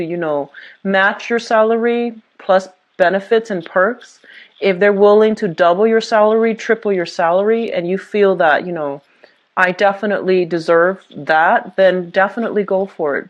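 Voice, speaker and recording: A woman's voice: medium pitch, muffled recording. A woman giving a tarot reading to viewers, talking in a reassuring way with many fillers and restarts. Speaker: tarot reader.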